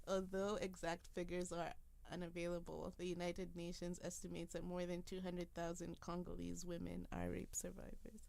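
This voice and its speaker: A woman's voice: lowish voice, completely flat delivery, moderate pace, creaky, few pauses, a little mellow. A young woman from Africa hosting a casual podcast conversation, explaining her views at length in a thoughtful way. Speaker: podcast host.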